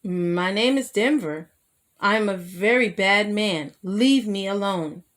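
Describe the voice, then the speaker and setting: An earnest homeless man from a children's storybook, lonely and troubled, voiced by a woman reader. He speaks plainly and sincerely, at the medium pitch of a woman's voice. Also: slow pace, a bit bright. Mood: gruff, defensive.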